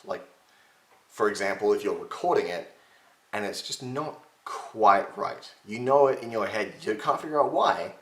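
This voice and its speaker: A man in his twenties giving casual voice-impression tips to a webcam at home, with a slightly gravelly, strained voice and a chatty, self-mocking manner. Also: fairly low pitch, fairly bright tone, roomy sound. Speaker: voice impression tutor. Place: home room with shelves, indoors. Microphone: webcam at desk distance.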